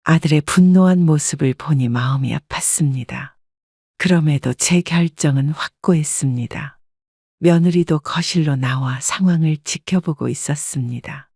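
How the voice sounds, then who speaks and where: Casting: woman narrator, calm scripted storytelling, dry close sound. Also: sits low, moderate pace, no room sound.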